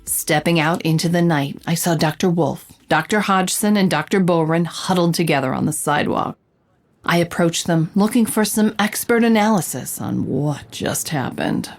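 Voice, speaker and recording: A woman playing an inquisitive reporter who narrates a mystery in a dramatic, deliberate way. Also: on the low side, soft background music. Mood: recounting. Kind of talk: scripted noir-like dramatic narration.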